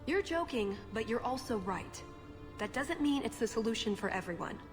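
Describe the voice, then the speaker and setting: A woman's voice: on the high side, animated, normal speed, lightly acted. A woman acting in a video game as an earnest, idealistic young believer who speaks sincerely and persuasively. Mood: defensive, earnest. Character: earnest idealistic young woman, devoted member of a strict order. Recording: game audio, long reverb, music underneath. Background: music.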